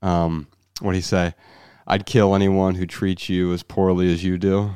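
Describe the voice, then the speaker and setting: Smooth low-voiced male podcast host. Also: little pitch movement, measured pace, dark tone, dry recording, studio quality. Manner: reflective, questioning, builds ideas aloud. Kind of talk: casual podcast conversation.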